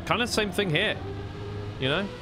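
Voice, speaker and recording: A man's voice: sits high, lively intonation, moderate pace, background noise. A man doing live esports play-by-play commentary in a conversational way, thinking aloud as he goes.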